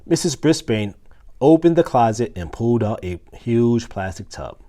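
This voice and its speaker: A man's voice: mid-range voice, animated, moderate pace, warm tone. A man reads a children's book aloud as a storyteller. His mid-range voice moves up and down a great deal, and he acts out the dialogue expressively, with an occasional small stumble. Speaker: assistant principal reading a children's book aloud.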